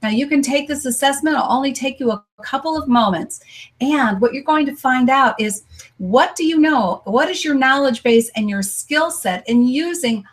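American woman, show host, upbeat sales-y delivery, slightly high voice. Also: normal speed. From United States. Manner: upbeat, promotional. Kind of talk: live stream interview show.

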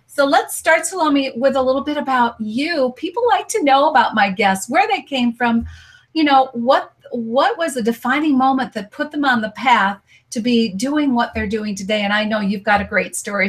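An American woman hosting a live stream interview show, upbeat and promotional. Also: a little high. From United States. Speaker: host.

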